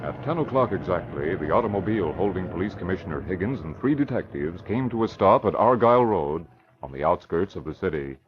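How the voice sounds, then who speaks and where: Low-voiced dramatic radio announcer, male. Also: animated, brisk. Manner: dramatic, declamatory. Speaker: announcer and narrator. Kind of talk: 1940s radio scripted narration. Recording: muffled old radio recording. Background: music.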